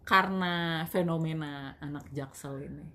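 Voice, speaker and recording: A young woman with a Betawi-tinged Jakarta accent, co-hosting a casual podcast chat, lively and slangy, often laughing and dramatizing. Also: low voice, measured pace. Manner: animated, laughing, dramatic self-pity, slangy code-mixing. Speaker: podcast co-host.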